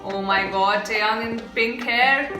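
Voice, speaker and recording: A woman's voice: a little high, takes it slowly. A young woman with an Indian English accent hosting a casual music reaction vlog, gushing and exclamatory, chatting with fillers. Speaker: reaction video host. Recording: echoey room with faint background music.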